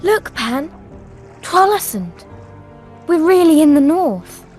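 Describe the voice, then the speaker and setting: A woman's voice: sits high, big pitch swings, takes it slowly, darkish tone, soft background music, exaggerated voice. A woman playing a bold, eager young girl adventurer in a video game, chatty and earnest. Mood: excited. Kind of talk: video game cutscene acting.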